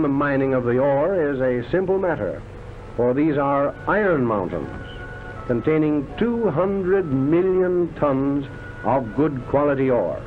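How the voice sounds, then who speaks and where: A man delivering scripted 1930s newsreel-style documentary narration in a declamatory, florid showman's manner. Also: mid-range voice. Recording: tinny band-limited vintage film soundtrack, loud music. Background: loud background music.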